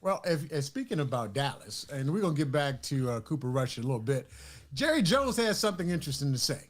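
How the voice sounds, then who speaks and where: High-voiced, upbeat man hosting a show. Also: fast talker. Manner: energetic, hypes the audience. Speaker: host. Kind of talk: casual sports talk show hosting.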